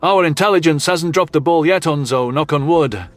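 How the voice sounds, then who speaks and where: A man's voice: a little high, normal speed, soft background music, close to an everyday voice. A man voicing a level-headed, reassuring male starship officer in a science fiction audiobook.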